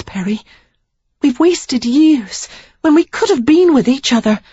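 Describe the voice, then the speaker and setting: A woman's voice: a little high, dark tone, husky, no room sound, muffled recording, voice pushed up. A woman reader voicing an upset young woman who pleads and insists, close to tears. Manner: pleading, emphatic, near tears. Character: young woman, frustrated and resolute suitor.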